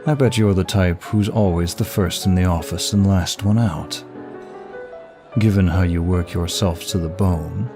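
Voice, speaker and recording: Man acting a deep, unhurried, seductive demon gentleman. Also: fairly even intonation. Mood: charming, admiring. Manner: smooth, flirtatious, formal, unhurried. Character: suave, seductive male demon of lust, courtly flatterer. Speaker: audio roleplay voice actor. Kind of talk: intimate ASMR-style roleplay, one side of a dialogue. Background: music.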